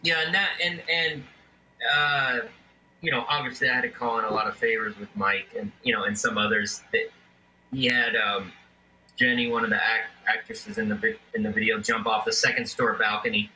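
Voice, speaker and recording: A man's voice: on the low side, steady tone, normal speed, sharp tone, slight background noise, rough recording. A man, an independent filmmaker, talking casually about his film with many fillers and restarts. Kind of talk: casual interview chat.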